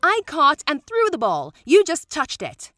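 A woman performs a confident, competitive girl in a cartoon comic dub. Her high voice moves up and down a lot and has a bright edge. She is assertive and takes her time. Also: measured pace, no echo, faint music behind, heavily acted. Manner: assertive, animated.

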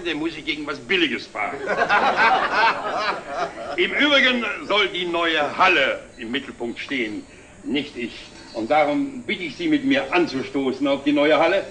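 An actor playing a genial, self-assured middle-aged company director in a 1960s German TV drama, speaking in measured, formal phrases. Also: male voice, fairly high pitch. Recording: old 1960s TV soundtrack, music behind.

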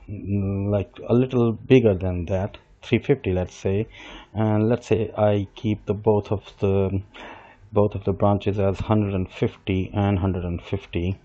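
Male instructor, middle-aged, low and soft-toned, patient step-by-step talk with self-corrections, muffled desk-mic sound. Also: steady tone, a little slow. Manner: explains step by step while working, with small restarts and self-corrections. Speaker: software instructor. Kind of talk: screen-recorded software tutorial. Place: desk with computer, voice-over on screen capture. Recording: dull lo-fi voice recording.

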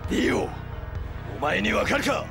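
Hot-blooded heroic fighter, gravelly and very animated, melodramatic anime audio drama delivery. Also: male voice, high voice, moderate pace, music in the background, heavily acted. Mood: furious, defiant. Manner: impassioned, melodramatic.

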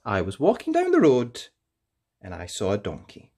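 Very animated children's storyteller. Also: mid-range voice. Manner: playful, theatrical, drawn-out emphasis on key words. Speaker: storyteller, host of a read-aloud show. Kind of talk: expressive read-aloud of a children's picture book.

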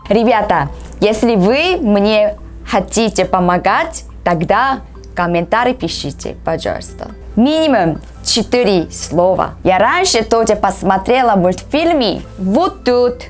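A woman in her thirties from South Korea with a Korean accent, giving casual reaction commentary in halting, simple phrases of a second language. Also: mid-range voice, lively intonation. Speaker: reaction vlogger. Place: small room at home, indoors. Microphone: lapel mic. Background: film soundtrack music.

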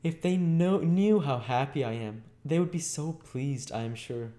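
A man's voice: a little high, a little slow, dark tone, pitched above the performer's own voice, natural voice. A man voicing a frail, eager orphan boy in a storybook reading, earnest and gushing with gratitude. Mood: earnest, grateful.

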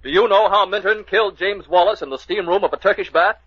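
A man's voice: high voice, fast, breathy edge, soft background music. Mystery show announcer, male, scripted vintage radio delivery, dull old recording.

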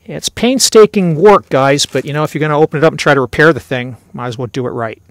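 A man's voice: a little high, fast talker, no echo. A middle-aged man giving casual, step-by-step instructional narration as the host of a hands-on repair tutorial. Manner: conversational, step-by-step explaining.

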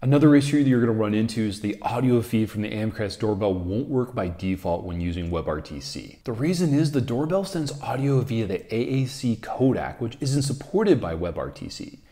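A man's voice: lowish voice, very animated, moderate pace, few pauses, warm tone. A man presents an explanatory tech video to camera. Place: quiet living room, indoors. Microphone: lapel microphone.

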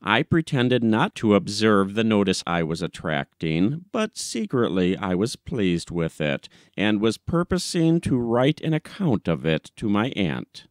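A man's voice: lowish voice, very animated, a little slow, few pauses, dry recording, clean studio sound. A man reading a literary short story as an audiobook narrator, in a scripted narration style.

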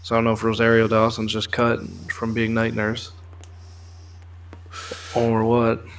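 A man's voice: on the low side, monotone, unhurried, a little hiss or hum. A young man co-hosting a casual pop culture chat from a room at home, explaining things informally and swearing freely. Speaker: co-host of a pop culture news show. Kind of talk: casual chat, explaining film trailers and comics.

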